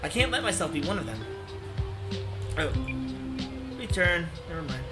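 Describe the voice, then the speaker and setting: Male let's play host, notably high voice, narrating a game and chatting to viewers, music clearly underneath. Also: sing-song, normal speed. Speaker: let's play host reading a visual novel aloud. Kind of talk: game narration and casual commentary. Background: background music.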